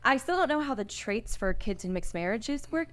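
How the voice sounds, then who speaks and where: A fairly high-pitched woman's voice as an actress reads the part of a modern young heroine. She is eager, chatty and easily bewildered, in the manner of a live screenplay reading. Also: expressive, normal speed, natural voice. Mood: curious, eager. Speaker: actor at a table read. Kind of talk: live screenplay table read.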